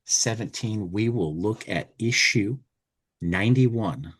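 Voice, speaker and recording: A man hosting a podcast in casual chat, rambling and halting, often restarting his phrases. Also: on the low side, husky. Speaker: podcast host.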